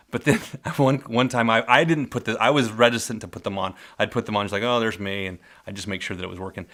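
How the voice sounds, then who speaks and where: Man, middle-aged, loud voice, slightly low pitch, casual rambling memories, restarts his sentences. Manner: rambling, self-correcting, warmly nostalgic storyteller. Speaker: vlogger telling work stories. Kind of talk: casual spoken memoir. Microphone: close mic.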